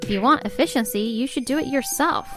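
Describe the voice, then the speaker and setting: A woman's voice: high voice, a little fast, music in the background, natural voice. A woman playing an exasperated, overburdened young wife and mother in a scripted comic dub. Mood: exasperated, firm. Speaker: voice actor in a comic dub, narrating and playing characters. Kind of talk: scripted dramatic narration and dialogue.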